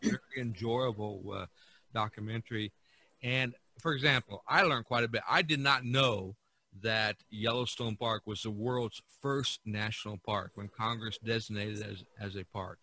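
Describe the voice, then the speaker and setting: A man's voice: lowish voice, moderate pace, bright, forceful tone. A man hosting a podcast, telling stories about art history in a casual, enthusiastic way, often stumbling and restarting. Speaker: podcast host.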